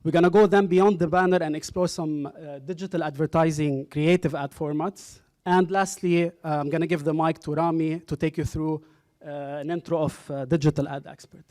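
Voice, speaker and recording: A man giving a conference presentation at a university workshop, relaxed and filling pauses with ums and uhs, speaking into a microphone. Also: fairly high pitch. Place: university lecture hall. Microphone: handheld microphone.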